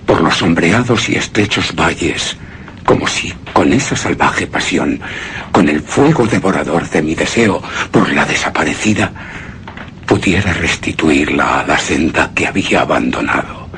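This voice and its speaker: Deep, brooding gothic widower. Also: male voice, fairly bright tone, slight background noise, exaggerated voice. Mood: feverish longing. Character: brooding, obsessive widower narrating his haunted past. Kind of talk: dramatic literary narration, radio horror drama.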